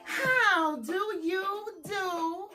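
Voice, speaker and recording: A woman's voice: very high-pitched, very slow, music in the background. A woman hosting a children's storytime in a theatrical, emphatic, singsong read-aloud style, drawing out and repeating phrases.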